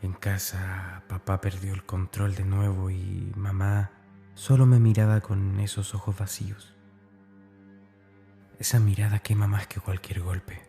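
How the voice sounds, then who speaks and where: An actor playing a tormented schoolboy with a dark streak, confessing to his diary in a brooding, intimate horror audio drama monologue. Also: deep voice, level tone, unhurried, gravelly, soft background music. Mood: bitter, defensive.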